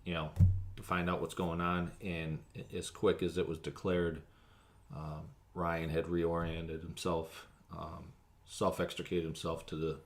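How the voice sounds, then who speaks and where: A man speaking as a fire officer in an interview, recounting an incident in a measured, matter-of-fact way. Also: deep voice, completely flat delivery.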